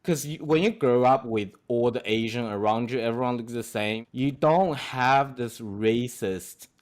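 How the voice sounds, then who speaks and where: Young man from China, non-native English speaker, animated and laughing guest in a casual interview. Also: medium pitch, measured pace. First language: Chinese. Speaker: guest artist.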